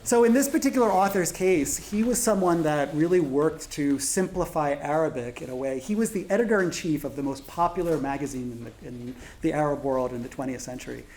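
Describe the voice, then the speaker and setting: A man in his forties speaking as a translator on a panel, halting, with many fillers and restarts. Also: fairly high pitch, expressive, a little mellow, a little hiss or hum. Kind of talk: panel discussion.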